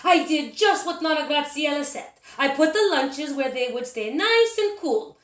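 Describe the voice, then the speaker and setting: A woman's voice: sits high, lively intonation, moderate pace, a bit bright, echoey room, pitched above the performer's own voice. A woman voicing a cheerful, obedient, slightly dim Italian boy in a storybook, eager and simple. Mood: pleased.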